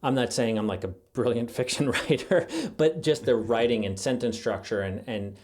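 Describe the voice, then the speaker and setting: Thoughtful middle-aged man, medium-pitched, in conversation. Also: normal speed, darkish tone. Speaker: podcast guest, journalist and writer. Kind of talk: casual, reflective interview chat.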